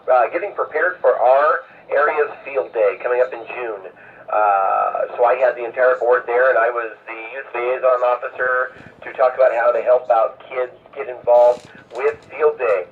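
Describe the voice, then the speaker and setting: A man's voice: mid-range voice, distant mic, a little hiss or hum. Phone-like radio voice, man hosting a net. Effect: amateur radio transmission. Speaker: amateur radio net host. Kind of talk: informal radio net check-ins and announcements. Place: over amateur radio. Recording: narrow phone-like radio sound, off-mic.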